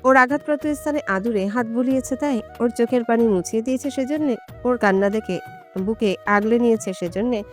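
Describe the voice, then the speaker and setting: A woman's voice: on the high side, fairly quick. Woman narrating a romantic story over music.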